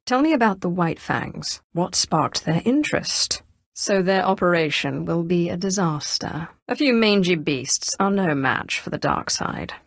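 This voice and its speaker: Cold, imperious low-voiced Sith sorceress. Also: female voice, animated, unhurried, gravelly, no room sound, studio quality, full character voice. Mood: cool, probing. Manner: imperious, clipped, dryly sardonic. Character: female Sith Inquisitor, cold, commanding, menacing young sorceress. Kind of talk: scripted video game dialogue.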